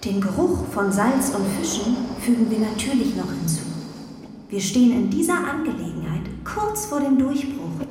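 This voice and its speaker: A woman's voice: mid-range voice, expressive, moderate pace, lightly acted. A woman playing a courteous, controlling artificial intelligence who rules a space ark, speaking with formal, emotionless politeness in a children's radio play. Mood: formal, detached. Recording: echoey hall, distant, faint noise.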